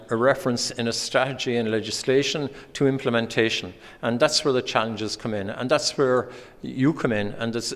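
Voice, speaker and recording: A man from Ireland with an Irish accent, giving a conference presentation with many pauses and restarts. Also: medium pitch. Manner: hesitant, many ums and restarts. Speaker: conference speaker. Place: conference hall. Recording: hall reverb.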